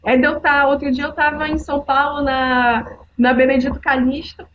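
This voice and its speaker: A woman in her forties with a Paraense accent from Belém and a high voice, chatting animatedly and telling stories as a podcast guest. Her audio is slightly muffled, as from a remote call, with a faint hiss behind it. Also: moderate pace. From Belém, northern Brazil. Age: 40s. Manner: storytelling, animated. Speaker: guest, social entrepreneur. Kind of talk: casual podcast conversation.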